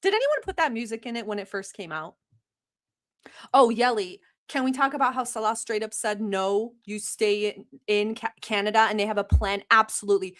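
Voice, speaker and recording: A woman's voice: medium pitch, lively intonation, moderate pace, a bit bright. A young woman born in Turkey, Turkish her first language, hosting a casual livestream, chatty and teasing, laughing often and swearing casually. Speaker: livestream host. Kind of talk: casual livestream chat reacting to a video.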